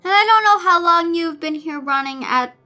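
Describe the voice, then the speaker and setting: A woman's voice: very high voice, expressive, normal speed, pushed and bright, soft background music, pitched above the performer's own voice, full character voice. A woman voicing a cold, stern, unworried father in a storybook reading. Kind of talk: amateur storybook-style reading of fan fiction.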